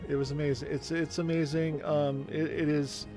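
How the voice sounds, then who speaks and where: A man in his forties, the game master, chatting casually and rambling with many pauses. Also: a little high, level tone, mellow, faint music behind. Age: 40s. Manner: rambling, hesitant. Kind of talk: casual stream chat.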